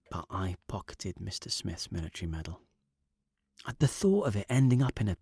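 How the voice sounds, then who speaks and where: A man's voice: fairly low pitch, vocal fry, darkish tone, husky, no echo, studio quality. A man with a British accent playing an earnest, touchy jobbing actor in a naturalistic audio drama, speaking colloquially and hesitantly. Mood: reflective. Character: jobbing actor John, earnest, wistful, touchy, working a council clearance job.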